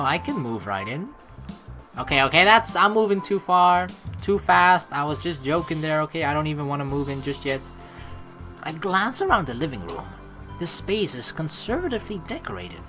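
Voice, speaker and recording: Lively, lower-voiced woman doing game commentary. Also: normal speed, music in the background. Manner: expressive, sweary, rambling. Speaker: let's play host. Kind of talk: casual game commentary with read-aloud narration.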